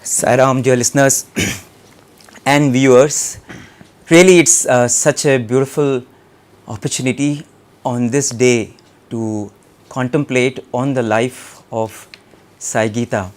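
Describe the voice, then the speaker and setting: Slow, reverent man with an Indian accent. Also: medium pitch. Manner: deliberate, reverent, dwelling on phrases. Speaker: co-speaker on a devotional radio satsang. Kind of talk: reflective devotional talk.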